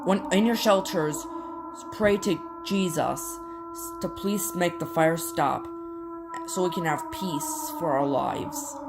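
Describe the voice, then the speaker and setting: A woman reading a scripted emergency broadcast as an announcer, in formal, solemn, measured phrases. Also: on the low side, vocal fry, music in the background. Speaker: emergency alert announcer and scenario narrator.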